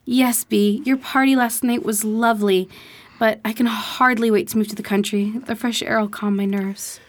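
A woman's voice: fairly high pitch, steady tone, normal speed, lightly acted. A woman playing a fragile, nervous young lady in an amateur radio drama. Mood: weary, hopeful.

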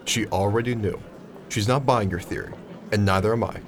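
A man's voice: on the low side, a little fast, noisy background, close to an everyday voice. A young man playing an anxious, stubborn student in an audio drama. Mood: defensive. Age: young adult. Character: anxious, stubborn male student nobody believes.

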